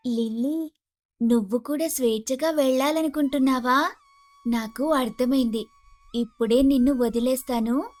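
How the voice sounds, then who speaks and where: A woman playing an earnest young daughter-in-law in an animated moral story, over music. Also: high voice, normal speed, no echo, natural voice. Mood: compassionate. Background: faint music.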